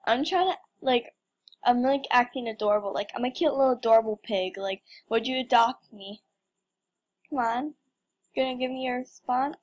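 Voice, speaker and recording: High-pitched young woman, playfully dramatic. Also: moderate pace. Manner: playful mock drama, exclamations. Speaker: gamer recording gameplay. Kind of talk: casual playful game commentary.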